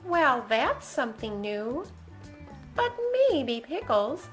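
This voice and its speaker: A woman's voice: high-pitched, big pitch swings, slow pace, a bit bright, faint music behind. A woman reading a children's picture book aloud in a playful, exaggerated, sing-song manner.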